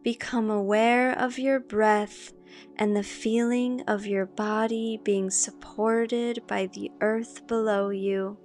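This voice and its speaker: A woman narrating a guided meditation with affirmations in a calm, measured way, leaving long pauses between phrases. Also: mid-range voice. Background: meditation music.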